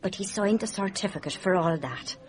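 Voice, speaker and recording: A woman acting as a gossipy nurse in a radio play. Her voice is mid-pitched, and she talks in a chatty, folksy way, plain-spoken and emphatic. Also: expressive, a little fast, a little mellow, close to an everyday voice. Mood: indignant. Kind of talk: radio mystery drama acting.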